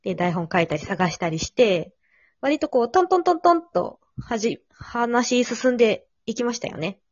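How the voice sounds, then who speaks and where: A woman's voice: a little high, very animated, moderate pace, darkish tone, dry recording. Woman host, dull dry recording. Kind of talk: casual three-person chat.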